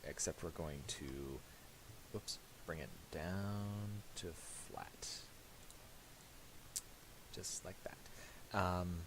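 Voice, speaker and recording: A man giving a casual, unscripted software tutorial, halting as he thinks aloud while he works. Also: low-pitched, low-quality recording. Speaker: tutorial host. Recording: hissy home recording with mouse clicks.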